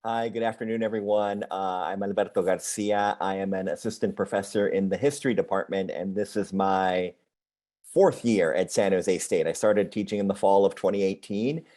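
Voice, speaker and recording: A man's voice: deep voice, steady tone, normal speed, dark tone. A man from California, a history professor, introducing himself politely on a panel. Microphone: video call.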